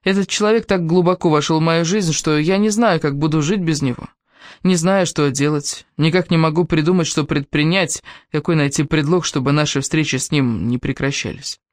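A woman's voice: deep voice, normal speed, no echo, clean studio sound. A woman reading a first-person novel aloud as scripted audiobook narration.

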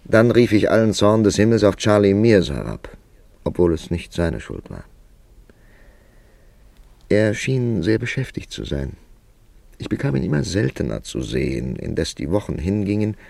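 Radio play: male literary narrator, thoughtful writer, deep and creaky, dry close sound. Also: darkish tone, no room sound, exaggerated voice. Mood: wry, brooding. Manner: measured, reflective, literary. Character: Mr. Kipling, reflective male writer narrating his own story.

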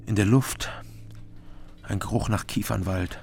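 An actor playing a sober, weary captive investigator, narrating a radio drama in the first person in measured, terse phrases. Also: male voice, on the low side, fairly even intonation, gravelly, a little mellow. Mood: weary, matter-of-fact.

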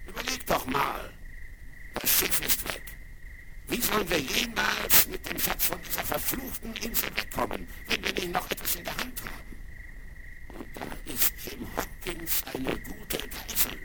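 An actor playing a cunning, scheming pirate leader who talks slyly and barks gruff orders, on a crackly vinyl record. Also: male voice, high voice, sing-song, unhurried, heavily acted. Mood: commanding. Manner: sly and wheedling, bursting into gruff commands. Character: cunning scheming male pirate cook, one-legged leader. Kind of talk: 1970s radio play acting. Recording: crackly vinyl record with loud surface noise.

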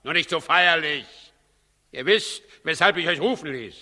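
A very sharp, forceful voice in a long-reverberating hall: an imperious tyrant king in a 1960s radio drama. He commands and questions with impatient sarcasm and suspicion, always ready to threaten. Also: a little high, normal speed. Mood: impatient, demanding. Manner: commanding, sarcastic, menacing interrogation. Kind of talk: 1960s German radio play acting.

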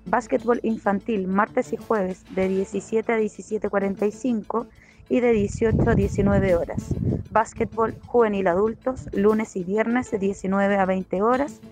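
A woman's voice: medium pitch, steady tone, measured pace, a little mellow. A woman announcer reading out a scripted list in a recorded radio report. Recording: music behind the voice. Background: faint music.

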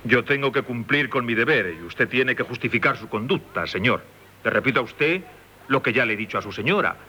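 Bright-voiced, insistent Spanish magistrate. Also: male voice, mid-range voice, expressive, a little fast, slight background noise. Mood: stern, official. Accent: Castilian Spanish. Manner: formal, probing, insinuating. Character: insistent, formally courteous examining magistrate, male. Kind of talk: 1970s Spanish radio theatre acting.

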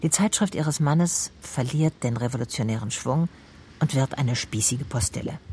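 An actress playing an observant private detective who narrates and questions in a measured, pointed way in a radio drama. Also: female voice, a bass voice, darkish tone, a little hiss or hum. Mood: dry, observant. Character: female private detective, observant, probing, coolly wry.

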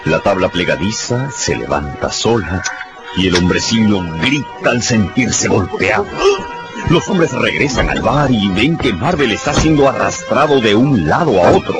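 Deep-voiced, animated male radio narrator. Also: measured pace. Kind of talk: dramatic scripted radio narration in Spanish. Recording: slightly dull sound, music behind.

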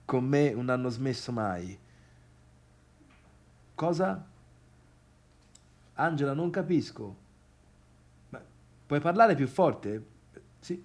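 A man's voice: medium pitch, very animated, slow pace, pauses often, heavily acted. An actor playing an awkward, needy, wounded man in a theatrical monologue, with a Tuscan accent and hesitant, repetitive phrasing. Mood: confused, agitated. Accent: Tuscan Italian. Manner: hesitant, stammering repetitions and questions. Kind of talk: theatrical monologue, halting one-sided dialogue.

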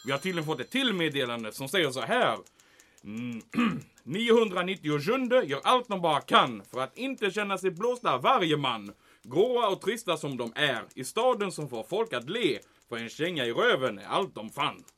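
An anxious German commandant from a comic wartime radio play. His voice is high and forceful, with very animated intonation. He is officious and easily agitated, and slips German words into his Swedish. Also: full character voice. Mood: insistent, agitated. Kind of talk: comic audio drama acting.

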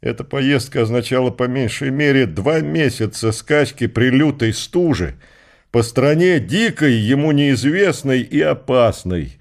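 A man narrating a literary radio drama with measured, expressive storytelling phrasing. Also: mid-range voice.

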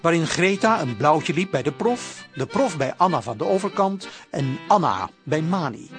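A man's voice: a little high, very animated, moderate pace. A man narrating a radio serial as a wry storyteller, in scripted style, with accordion music behind.

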